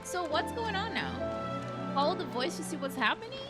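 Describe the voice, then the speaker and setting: A woman's voice: very high voice, big pitch swings, a little fast. A woman giving casual, playful reactions as she plays a video game, giggly and given to exclaiming. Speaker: let's play streamer. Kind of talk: casual gameplay reaction commentary. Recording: game music behind the voice. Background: video game music.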